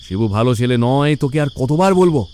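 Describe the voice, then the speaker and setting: A man's voice: mid-range voice, animated, fast talker, warm tone, a little hiss or hum, close to an everyday voice. A man voicing a vengeful father ghost. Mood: scolding. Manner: stern, scolding. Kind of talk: Bengali cartoon voice acting.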